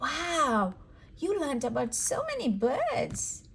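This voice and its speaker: A woman's voice: high-pitched, wildly swooping pitch, slow, gravelly, a bit bright. A woman leading a read-aloud word lesson for toddlers, sing-song and encouraging, repeating words for a small child. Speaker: children's educator and host.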